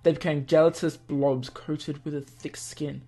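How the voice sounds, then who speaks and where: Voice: medium pitch, steady tone, normal speed, dark tone, slightly rough. A game player giving playful let's play commentary and expressively reading the on-screen script aloud. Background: game music.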